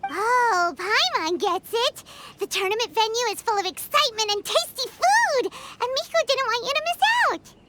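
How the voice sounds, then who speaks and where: Tiny female game fairy: extremely high, animated, perky and chatty, music behind. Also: moderate pace, hardly any pauses, very noisy. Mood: excited. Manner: refers to self in third person, exclamatory. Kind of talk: anime game voice acting.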